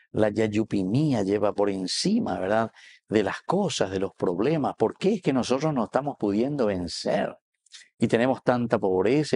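Low-voiced Paraguayan priest. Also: male voice, darkish tone. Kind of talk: sermon with scripture reading. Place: small indoor wood-panelled chapel. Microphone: lapel mic.

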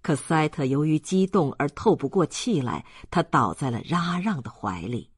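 Expressive male audiobook narrator. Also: fairly high pitch, normal speed, husky, dry recording, studio quality. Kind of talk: dramatic scripted novel narration.

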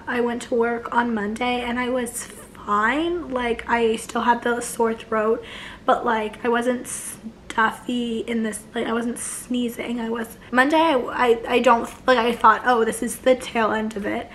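A young woman in her twenties with a hoarse, failing voice, chatting casually to camera from her couch, rambling with many restarts. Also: a little high, steady tone, a little slow, a bit bright, slight background noise. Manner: rambling, many likes and restarts, gestures a lot. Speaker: vlogger. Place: living room couch, indoors.

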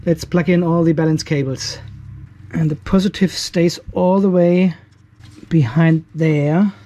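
Higher-pitched older German man, casual workshop narration. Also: fairly even intonation, unhurried, warm tone. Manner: informal, self-deprecating, thinking aloud while working. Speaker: DIY video host. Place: cluttered garage workshop.

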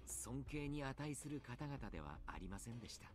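An actor playing a soft-spoken, grave male doctor in a Japanese visual novel, speaking politely and choosing his words with care. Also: medium pitch, sing-song, fast, lightly acted.

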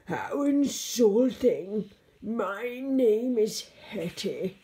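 A big, huffy female monster from a storybook, as a woman reads her. She drags out her words with heavy emphasis, slow and loud, always ready to be insulted. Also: deep voice, expressive, heavily acted. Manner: drawn-out, emphatic. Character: huge angry, easily insulted female monster. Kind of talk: children's rhyming storybook character.

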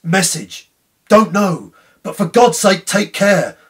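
A man's voice: high-pitched, big pitch swings, takes it slowly, pitched above the performer's own voice, full character voice. A man voicing a tormented, fearful railway signalman in a dramatic story reading, earnest and anguished. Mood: frantic. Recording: slightly distant room microphone.

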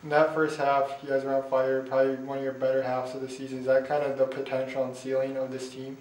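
A man interviewing players after a basketball game, asking measured questions with a few "uh"s. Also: mid-range voice, monotone, darkish tone. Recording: echoey room, distant mic, faint hiss.

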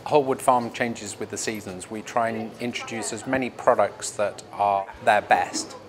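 A middle-aged man who owns a farm shop, speaking in an earnest, measured way with frequent fillers in a promotional interview, recorded indoors in the shop. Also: on the low side. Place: indoor shop by the cheese counter.

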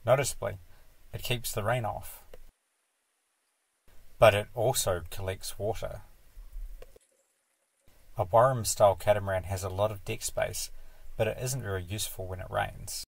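Male DIY vlog narrator, steady explainer, low gravelly voice. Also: normal speed, long pauses, no echo, low-quality recording. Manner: calm, matter-of-fact explaining. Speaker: sailing vlogger. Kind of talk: scripted voice-over narration.

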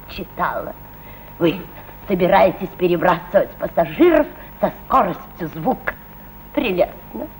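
An older actress playing a pilot's mother, an aging painter who speaks in a dreamy, theatrical way and drops into hushed murmurs. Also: on the low side, slight background noise, low-quality recording. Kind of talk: stage play acting.

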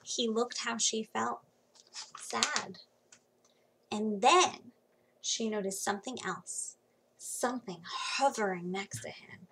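A woman in her thirties reading a children's storybook aloud expressively, with dramatic pauses and hushed emphasis, recorded on a computer mic in a small room at home. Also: a little high, low-quality recording. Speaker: children's book reader. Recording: faint background noise.

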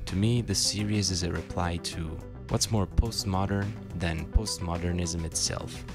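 A man delivers scripted essay narration in a very low, creaky voice. His manner is dry, with wry asides, and music plays clearly behind him. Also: fairly even intonation, a little slow.

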